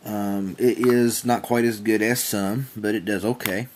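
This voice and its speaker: A man's voice: fairly low pitch, steady tone, unhurried, a little hiss or hum, low-quality recording. A man giving a casual product demonstration in a plain-spoken, folksy, rambling and repetitive way. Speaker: reviewer demonstrating a small weather radio.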